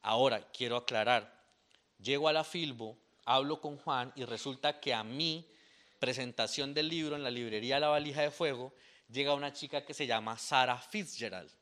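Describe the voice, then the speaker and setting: A young man talks through his own book at a bookshop gathering. His voice is bright and clear, with a loose, rambling flow full of fillers and self-corrections. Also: medium pitch, normal speed. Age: young adult. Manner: rambling, animated, with fillers and restarts. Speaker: author presenting his poetry book. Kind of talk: casual talk at a book presentation.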